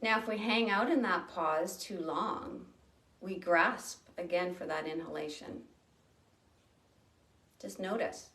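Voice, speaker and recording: A woman leading a guided breathing meditation, speaking slowly and gently with long pauses. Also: on the low side, distant mic. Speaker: meditation teacher. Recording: roomy sound from off the mic.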